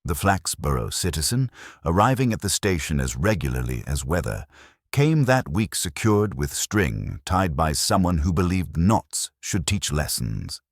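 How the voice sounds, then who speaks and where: A man reading a literary detective story as narrator, with a measured, dry and formal delivery. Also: deep voice, very animated, no room sound. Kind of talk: scripted literary audiobook narration.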